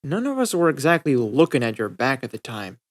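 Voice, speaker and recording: A man's voice: mid-range voice, sing-song, a little fast, lightly acted. A man voicing a plainspoken farm girl who talks in a drawl. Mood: muttering.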